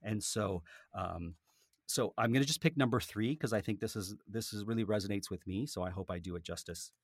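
A man speaking as a podcaster and author, telling things in an animated, conversational way. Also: lowish voice, brisk, no echo, clean studio sound. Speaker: podcaster and author, interview guest. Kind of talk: casual conversational interview, with a read-aloud book passage.

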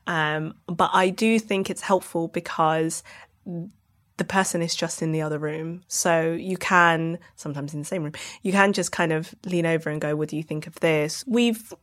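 Low-pitched woman in casual conversation. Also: normal speed, fairly bright tone. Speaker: podcast producer and director, interview guest. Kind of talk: casual chat.

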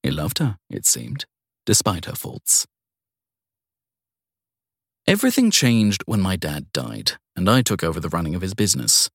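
A man reading a scripted first-person crime story in a measured storytelling manner, with dramatic pauses. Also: deep voice, sing-song, creaky, husky, no echo, studio quality. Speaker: narrator of a short crime story.

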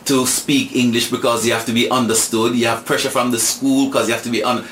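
A Jamaican man in his sixties speaks slowly and reflectively, often repeating words as he works out what he wants to say. His voice sits at an ordinary pitch. It is recorded in an echoey room with a faint ambient hum behind it. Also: flat delivery, fairly bright tone. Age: 60s. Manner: thoughtful, repeats words while searching. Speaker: guest. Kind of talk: reflective storytelling.

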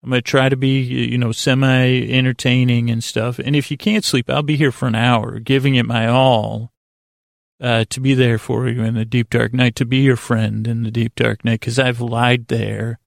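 A man hosting a sleep podcast in a rambling, informal bedtime monologue, halting and self-deprecating, with a gravelly voice. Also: mid-range voice, level tone, dry recording, clean studio sound. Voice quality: gravelly, creaky.

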